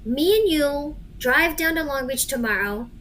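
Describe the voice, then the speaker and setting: A woman's voice: high voice, lively intonation, measured pace, fairly bright tone, a little hiss or hum. A woman playing a brash, crude, cocksure gangster, the boss's grown-up child, in an amateur audio drama. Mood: businesslike.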